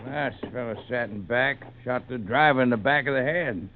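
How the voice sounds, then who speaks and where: An actor playing a wary, plain-spoken mountain stagecoach driver, in 1940s radio style. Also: male voice, mid-range voice, normal speed, natural voice. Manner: folksy, terse rural talk. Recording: muffled, noisy 1940s radio recording.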